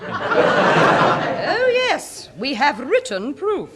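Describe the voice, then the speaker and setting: An earnest English lady campaigner in a radio sitcom. She is gushing and fluttery, very high in pitch and unhurried, with huge swoops. There is a faint hiss on the old recording. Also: wildly swooping pitch, heavily acted. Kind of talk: British radio sitcom acting.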